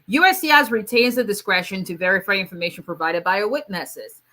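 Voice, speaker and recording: Woman immigration attorney, bright voice, casual live Q&A. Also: medium pitch, lively intonation, fairly quick. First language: Yoruba. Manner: conversational, many fillers, reads out viewer questions. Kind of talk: live Q&A stream.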